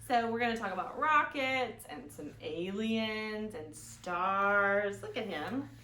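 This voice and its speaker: A woman's voice: medium pitch, expressive, slow, sharp tone. A woman hosting an interactive storytime for small children, with a playful, sing-song manner and little questions to her young listeners. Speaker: children's library storytime host. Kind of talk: interactive storytime for small children, songs and picture-book reading. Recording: echoey room, clear background noise.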